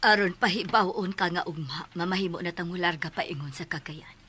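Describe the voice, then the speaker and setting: A woman's voice: fairly low pitch, a little fast, a bit bright, a little hiss or hum, lightly acted. An actress with a Cebuano accent playing a firm, controlling mother of a grown child in a radio drama. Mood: firm, commanding.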